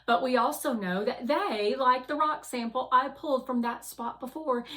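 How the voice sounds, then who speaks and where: A woman's voice: a little high, a bit bright, pitched above the performer's own voice. A woman voicing a hopeful, determined robot rover, earnest and reassuring, in a children's storybook.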